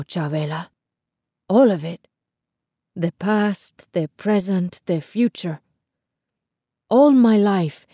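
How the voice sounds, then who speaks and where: A woman's voice: fairly low pitch, warm tone, dry recording, studio quality, lightly acted. A woman voicing an elderly Cuban grandfather in an audiobook, earnest, emotional and full of regret and tender resolve. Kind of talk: audiobook character dialogue.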